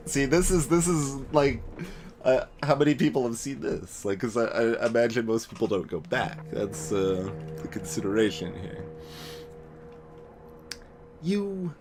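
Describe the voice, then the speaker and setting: A man's voice: mid-range voice, normal speed, music in the background. A man giving casual gaming commentary, musing aloud and deliberating as he goes. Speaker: let's play streamer.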